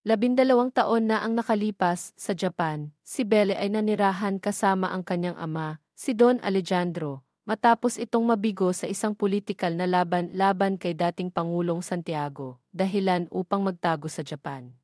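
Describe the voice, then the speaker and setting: A woman's voice: medium pitch, normal speed, a little mellow, no room sound, clean studio sound. A woman delivering scripted narration for an audio drama story.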